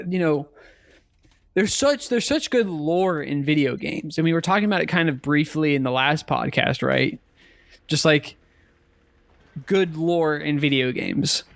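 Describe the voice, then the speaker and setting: A man with a slightly higher-than-average voice talks casually as a podcast co-host. He repeats and rephrases as he goes, and the recording is very dry, with no room sound. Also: a bit bright, no room sound. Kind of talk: casual podcast chat about video games.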